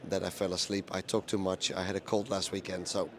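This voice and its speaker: Hoarse man recapping a conference. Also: low-pitched, fairly quick, crowd noise. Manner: plain, steady, many ums and uhs. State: hoarse, lost his voice. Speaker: event reporter recapping a conference. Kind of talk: casual spoken recap to camera.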